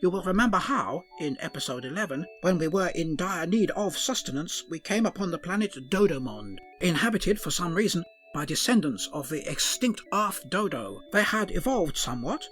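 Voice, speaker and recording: A man's voice: fairly high pitch, a bit bright. A man voicing a fussy, earnest male ship's doctor who speaks formally in an odd, blended put-on accent, for a comic audio drama.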